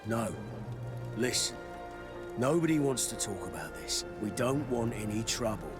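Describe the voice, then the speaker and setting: A man's voice: mid-range voice, sing-song, measured pace. A man playing a wary, blunt armorer in a video game, a guarded salesman. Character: wary, blunt male armorer and weapons merchant. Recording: music behind the voice.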